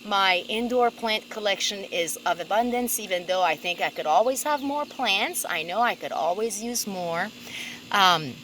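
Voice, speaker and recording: A bright, forward-sounding woman with a mid-pitched voice talks through a gardening vlog. She presents in an upbeat, unhurried way, recorded outdoors with some background noise. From Persia. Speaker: plant vlogger and cultivator. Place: outdoors in a sunny garden by a fence.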